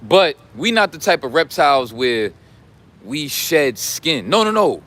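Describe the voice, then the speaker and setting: A man's voice: on the high side, sing-song, a little slow, a bit bright. A young man coaching in a casual, blunt, preachy way, full of slang and profanity. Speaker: life coach. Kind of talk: casual Patreon talk.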